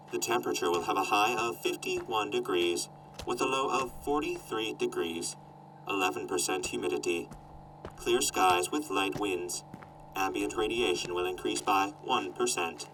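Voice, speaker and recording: A man's voice: lowish voice, a bit bright, distant mic, a little hiss or hum, poor audio. A man voicing a formal, emotionless robot companion announcing in a scripted post-apocalyptic audio drama. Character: robot companion delivering clipped, formal weather forecasts.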